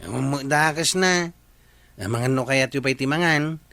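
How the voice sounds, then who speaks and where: A man's voice: mid-range voice, unhurried, gravelly, sharp tone, no room sound. A man acting an easygoing village tricycle driver in a comedy radio drama, with an Ilocano accent. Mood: accommodating.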